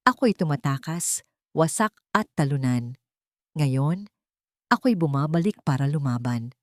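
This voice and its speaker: A woman reading a scripted first-person dramatic story as narrator, in an earnest, deliberate way. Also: low voice, dry recording, clean studio sound.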